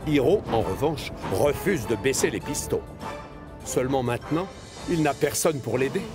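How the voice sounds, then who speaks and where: A man's voice: medium pitch, expressive, moderate pace. A man reading scripted narration for a children's cartoon. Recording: clear music bed under voice.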